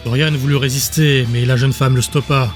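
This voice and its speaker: A man's voice: medium pitch, steady tone, moderate pace. A man narrating a scripted fantasy audiobook in a dramatic storytelling style. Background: music.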